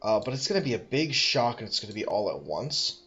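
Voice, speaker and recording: A man's voice: lowish voice, very animated, brisk, sharp tone, lo-fi sound. A man thinking aloud as he plays a strategy game, musing, correcting himself and making dry asides. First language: English. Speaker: let's play host.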